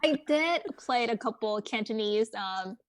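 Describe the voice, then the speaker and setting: A woman's voice: on the high side, animated, measured pace. A woman whose first language is Mandarin Chinese, a new mother chatting as a podcast guest, hesitant and searching for words. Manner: hesitant, with many fillers and restarts. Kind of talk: casual podcast chat.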